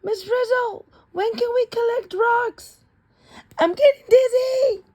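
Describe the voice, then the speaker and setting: A woman's voice: very high voice, slow pace, darkish tone, voice pushed up, heavily acted. A woman voicing a whiny young schoolchild in a storybook class, exclaiming and complaining. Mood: whiny, pleading.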